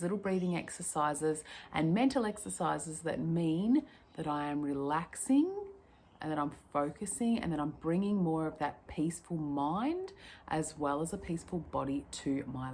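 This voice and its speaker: A woman stress coach leading a breathing lesson in a gentle, chatty way, her voice croaky from a cold. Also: on the low side. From Australia. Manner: gentle, chatty, slows down to calm. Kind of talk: guided breathing lesson to camera.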